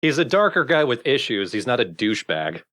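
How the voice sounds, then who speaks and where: A man's voice: mid-range voice, sing-song, a little fast, fairly bright tone. A man in casual conversation as a let's play game commentator.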